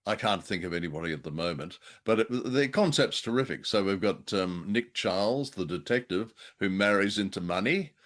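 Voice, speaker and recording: A middle-aged or older man co-hosting a show, casually discussing old-time radio in an animated, halting way. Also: fairly low pitch. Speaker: podcast co-host.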